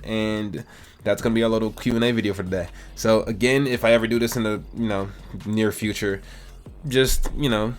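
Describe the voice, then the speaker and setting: A man in his twenties casually answering viewer questions about a mobile game, rambling and slangy. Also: on the low side. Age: 20s. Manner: laid-back, rambling, slangy. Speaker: gaming YouTuber. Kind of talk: casual Q&A chat to camera.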